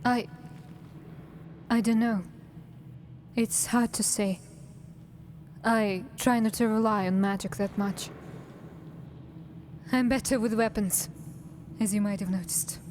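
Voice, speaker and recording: A woman's voice: medium pitch, steady tone, slow pace, pauses often, darkish tone, slight background noise, lightly acted. A woman voicing a guarded, pragmatic drow assassin in fantasy game dialogue, terse and reserved.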